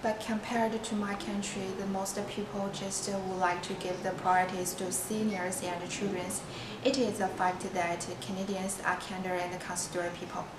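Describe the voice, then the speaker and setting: Woman reading her lines in a flat tone, noisy echoey room. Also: medium pitch, moderate pace, distant mic. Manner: flat, recited statements. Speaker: international student.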